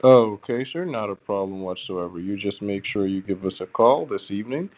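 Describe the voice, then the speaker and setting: A low-pitched man works through a persuasive phone pitch in a formally polite way. He hesitates and repeats phrases as he explains. His voice comes over a dull, band-limited telephone line. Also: animated. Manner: halting, repetitive, formally polite. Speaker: phone caller posing as a prize agent. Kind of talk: persuasive phone sales talk.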